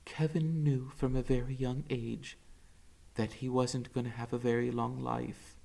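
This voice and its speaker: A man, a teacher, reading a novel aloud with expressive first-person storytelling. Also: mid-range voice, a little slow, gravelly.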